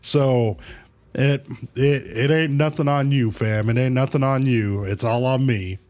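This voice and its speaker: A man chatting casually to viewers as a livestreamer, halting and rambling, with easygoing slang. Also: mid-range voice, a little mellow. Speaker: live streamer, host of own channel. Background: music.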